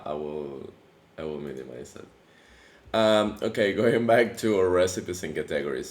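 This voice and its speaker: A man with a very low voice, thickened by allergies, talks through a coding tutorial in a relaxed, chatty way, full of little fillers and restarts. He sounds like he is in an echoey room, with a faint hiss of noise behind him. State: voice deepened by allergy. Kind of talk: casual screen-recorded coding tutorial.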